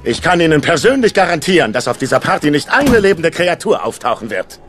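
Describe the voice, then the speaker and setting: An actor playing a pompous, swaggering pest exterminator in a cartoon audio play, sounding self-important. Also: male voice, fairly high pitch, sing-song, brisk, fairly bright tone, faint music behind. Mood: boastful.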